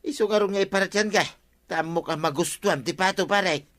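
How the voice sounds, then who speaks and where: Brief: comic Ilocano husband, slightly high bright voice, scheming and wheedling, radio drama style. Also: male voice, fairly quick. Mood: coaxing, chummy.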